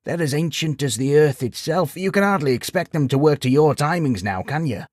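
A man voicing a kindly old village sage in a storybook, gently chiding and wry, explaining patiently in folksy rhetorical questions. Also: mid-range voice, normal speed, no room sound, studio quality, pitched above the performer's own voice, natural voice. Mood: grave, admiring. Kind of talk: storybook character voice.

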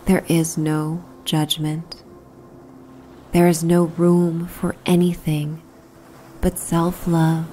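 A woman narrating a guided sleep meditation in a soothing, hushed, breathy and unhurried way. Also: sits low, slight background noise. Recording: soft background ambience soundscape. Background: relaxing ambient soundscape.

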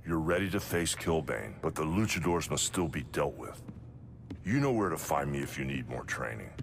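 An actor playing a calm, measured male martial arts trainer giving instruction. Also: extremely low pitch, gravelly, a little hiss or hum, full character voice. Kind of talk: video game cutscene.

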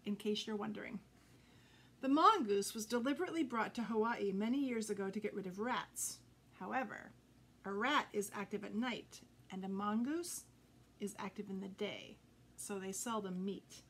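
A woman in her fifties reading a children's story aloud with expressive, deliberate phrasing. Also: medium pitch, slight background noise. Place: indoor room with green curtain backdrop.

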